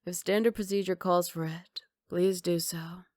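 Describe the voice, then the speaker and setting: A woman acts out a teasing, self-assured female villain for an ASMR roleplay. The voice is lowish, soft and breathy, sitting very close and dry. She takes her time, with sly, flirty mock politeness. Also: fairly even intonation, moderate pace, no room sound, natural voice. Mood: coy, mock-polite.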